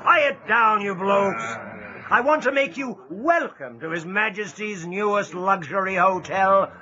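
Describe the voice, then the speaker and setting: Prison-ship officer, bullying, sneering, slow high voice, muffled audio drama recording. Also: male voice, lively intonation. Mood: mocking. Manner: barking, taunting. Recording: muffled recording, crowd behind.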